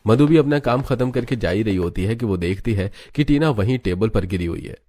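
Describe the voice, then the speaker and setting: A man's voice: on the low side, animated, fairly quick, mellow. A man narrating a cartoon story in Hindi from a script.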